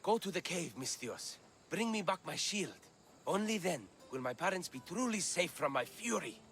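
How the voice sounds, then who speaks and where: High-pitched, imperious wrathful son. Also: male voice, lively intonation, moderate pace, fairly bright tone, faint music behind. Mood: commanding. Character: wrathful, imperious young son with grandiose airs. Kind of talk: video game cutscene dialogue. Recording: faint background noise and ambience.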